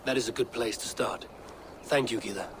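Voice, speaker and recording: An actor playing a stern, terse Viking warrior who speaks in a measured, grave way. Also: male voice, mid-range voice, noisy background, lightly acted.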